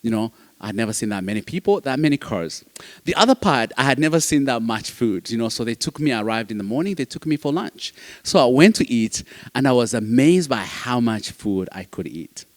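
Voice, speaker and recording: A man's voice: mid-range voice, expressive, a little fast. A man from Uganda with a Ugandan accent, a non-native English speaker, giving an emphatic personal motivational talk to an audience. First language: not English, which is a fourth language. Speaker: guest speaker, foster care advocate. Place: large reverberant hall. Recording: long hall reverb.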